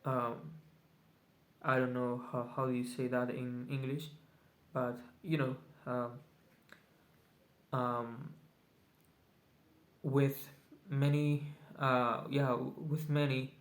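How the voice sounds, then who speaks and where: Man, native Spanish speaker, very slow, halting English, many pauses, mid-pitched, casual video diary. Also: little pitch movement. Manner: hesitant, searching for English words, many pauses. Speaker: vlogger.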